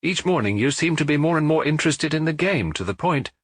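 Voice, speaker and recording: A man's voice: a little high, expressive, a little fast, husky, no echo, clean studio sound, pitched above the performer's own voice. A man voicing a jovial, talkative middle-aged police chief superintendent in an audiobook. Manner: hearty, talkative, reporting.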